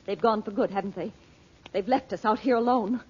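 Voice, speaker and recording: A woman's voice: mid-range voice, brisk, a little mellow, husky, close to an everyday voice. An actress playing an anxious, perceptive mother with refined, dramatic delivery in an old radio adventure serial. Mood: frightened. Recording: dull, noisy vintage radio recording.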